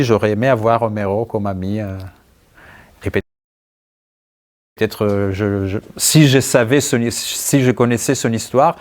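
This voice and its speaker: Greek-accented man, halting and thoughtful. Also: on the low side. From Greece. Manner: halting, searching for words, thoughtful. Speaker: writer being interviewed. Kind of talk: conversational answers at a book talk.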